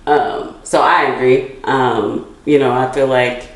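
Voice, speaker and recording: A woman's voice: extremely low pitch, steady tone, slow pace, reverberant, rough recording. A woman co-hosting a podcast, earnestly making her point with fillers. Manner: earnest, rising emphasis, fillers. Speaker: podcast co-host. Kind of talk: casual podcast chat.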